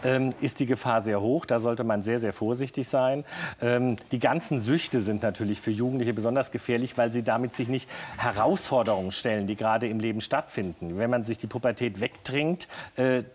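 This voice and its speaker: Doctor guy on a tv talk show, calm and plain, explaining stuff, lapel mic sound. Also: mid-range voice, brisk, slight background noise. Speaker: doctor and health editor. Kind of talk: studio talk show answering viewer questions. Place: TV studio set.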